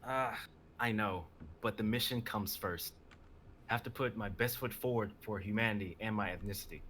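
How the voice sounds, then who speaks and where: A man's voice: fairly low pitch, moderate pace, fairly bright tone, slight background noise, close to an everyday voice. A man playing a reckless, dutiful soldier in a dramatic reading of a sci-fi script. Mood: resolute. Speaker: reader of stage directions and a character.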